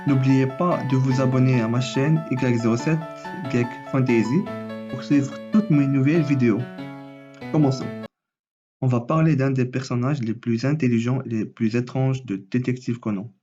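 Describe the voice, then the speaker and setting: Homme enrhumé sur fond de musique. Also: male voice, mid-range voice, fairly even intonation, normal speed, dull audio, over music. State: has a cold. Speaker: anime and manga YouTuber. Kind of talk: scripted theory video.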